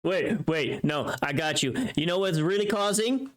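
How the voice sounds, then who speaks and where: A woman's voice: lowish voice, lively intonation, normal speed. A woman chatting casually on a livestream in a playful, teasing manner, swearing now and then. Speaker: streamer.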